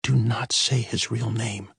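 A man's voice: mid-range voice, animated, a little slow, darkish tone, slightly rough, no room sound, studio quality, voice pushed up. A man voicing a frightened, stern mother who whispers urgent warnings to her children and drills them harshly.

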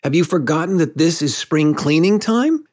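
A man's voice: medium pitch, big pitch swings, measured pace, a little mellow, husky, pitched above the performer's own voice. A man voicing a careless, boastful little boy who never grows up, blunt and demanding, in a storybook reading. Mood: confident, stern. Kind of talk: storybook character voice.

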